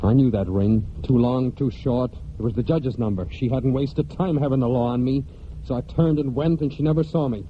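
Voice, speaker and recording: An actor playing a proud, hot-tempered young country man in 1940s radio drama, with folksy rural speech and dramatic pauses. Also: medium pitch, slight background noise, close to an everyday voice. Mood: rueful, brooding. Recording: dull 1949 radio recording, music behind.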